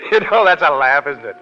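A man's voice: fairly high pitch, bright, forceful tone, heavily acted. An actor playing a brash, self-effacing American Foreign Legion private in 1930s radio drama, with blunt, slangy tough-guy talk. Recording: vintage 1930s radio, dull, hall reverb.

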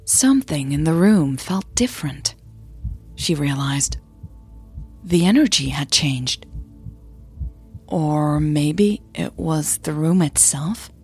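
A woman's voice: deep voice, expressive, slow pace, long pauses, a little mellow, breathy edge, faint music behind. A woman reading scripted audio drama narration as a podcast host and narrator.

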